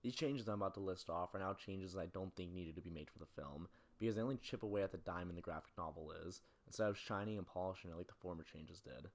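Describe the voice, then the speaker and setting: Fast-talking low-voiced man narrating. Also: background noise. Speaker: video essayist. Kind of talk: scripted film-analysis narration.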